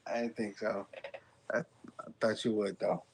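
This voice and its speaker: A man's voice: lowish voice, flat delivery, normal speed, rough recording. A man co-hosting a podcast, chatting casually, teasing and laughing often. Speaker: podcast co-host. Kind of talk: casual chat.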